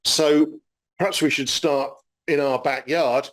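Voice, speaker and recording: A man's voice: fairly high pitch, fairly even intonation, slow pace, low-quality recording. A man giving a public astronomy lecture, friendly and explanatory, with frequent fillers as he talks through slides.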